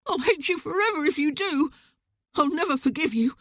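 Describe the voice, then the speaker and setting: A narrator putting on an impulsive teenage boy from a storybook, his voice pitched up high and pleading in outbursts. He sounds hot-tempered and quick to protest, close and dry on the mic. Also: animated, a bit bright, dry recording. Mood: distraught. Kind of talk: audiobook character voice.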